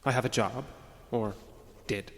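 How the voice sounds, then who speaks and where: An actor playing an agitated, arrogant man with violent urges, narrating his journal in a deliberate, formal way for an audio drama. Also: medium pitch, steady tone, fairly bright tone, natural voice. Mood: cold, self-important. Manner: pompous, deliberate, brooding, formal phrasing. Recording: long reverb, music underneath.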